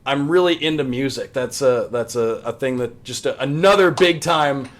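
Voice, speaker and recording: A man's voice: medium pitch, moderate pace. American man, thirties, enthusiastic, talkative, casual podcast guest. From US Midwest. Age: 30s. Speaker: podcast guest, sports radio play-by-play announcer. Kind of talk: casual hobby chat.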